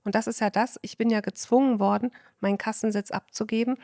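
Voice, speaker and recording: A woman's voice: on the high side, steady tone, quick pace, vocal fry, darkish tone, no room sound, clean studio sound. A woman physician, a guest on a podcast, explaining her views at length in casual conversation. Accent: German.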